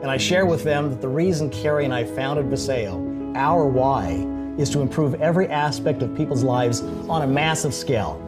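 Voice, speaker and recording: A man's voice: mid-range voice, moderate pace. A man speaking as a company founder in a scripted promotional speech. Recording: loud guitar music under the voice. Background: loud plucked guitar music.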